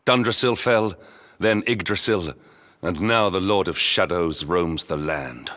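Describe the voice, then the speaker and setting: An actor playing a stern, loyal knight in a video game, speaking in a formal, measured, courtly manner. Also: male voice, low-pitched, bright, forceful tone. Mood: grave. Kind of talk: video game character acting.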